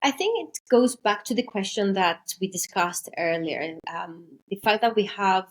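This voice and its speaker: Woman, podcast guest, careful explanations, mid pitch. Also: expressive, unhurried. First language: Greek. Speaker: podcast guest, maritime trainer. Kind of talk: podcast interview.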